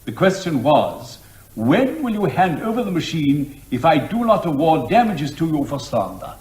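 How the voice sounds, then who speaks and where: An actor playing a sardonic, impatient county court judge with a curt, authoritative manner. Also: male voice, fairly high pitch, very animated, moderate pace, a little mellow, reverberant. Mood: stern, impatient. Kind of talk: comic courtroom drama.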